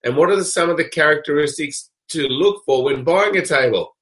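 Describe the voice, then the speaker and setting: A man with a raspy voice from a cold, co-hosting and reading viewer questions in an easygoing, joking way. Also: a little high, brisk, fairly bright tone. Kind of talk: casual chat.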